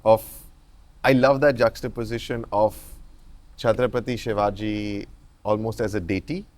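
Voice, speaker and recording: A man's voice: lowish voice, fairly even intonation, normal speed, a little mellow. A man hosting an interview, who often agrees briefly and phrases his own points hesitantly with fillers. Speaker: podcast host. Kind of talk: conversational interview.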